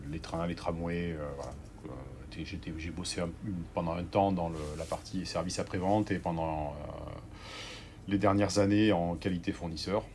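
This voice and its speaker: A man in his forties from southwest France, the guest, talking through his career slowly with many fillers and restarts. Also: low-pitched, steady tone, a little mellow, slight background noise. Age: 40s. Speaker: organic grocery owner, guest. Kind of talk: conversational interview.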